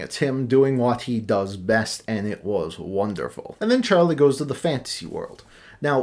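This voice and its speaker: A man with a mid-pitched voice that swings up and down a lot as he talks. He hosts a casual book review, conversational and opinionated, dropping in playful asides. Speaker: book reviewer and channel host.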